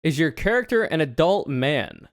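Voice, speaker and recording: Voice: mid-range voice, sing-song, normal speed, no room sound, clean studio sound. A man in his twenties from the United States chatting casually on a livestream, reading questions aloud and answering with joking, sarcastic, rambling asides. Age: 20s.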